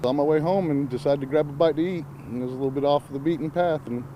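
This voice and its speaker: A man recounts his story in an outdoor news interview, with earnest, grateful conviction. His voice is mellow and warm, with faint traffic noise behind him. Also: a little high, quick pace.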